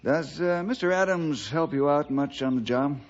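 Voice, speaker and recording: An actor playing a calm, level-headed western lawman hero, speaking in a measured, probing way in a radio drama. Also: a little high, natural voice. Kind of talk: old-time radio western acting. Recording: dull vintage radio recording.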